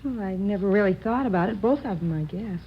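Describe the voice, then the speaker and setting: On a muffled old radio recording, an actress plays a young American office girl. She is polite and earnest but guarded, defending herself plainly in a mid-pitched voice, in 1950s crime drama style. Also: lively intonation, fast talker, slight background noise, lightly acted. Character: guarded, earnest young female office worker under questioning.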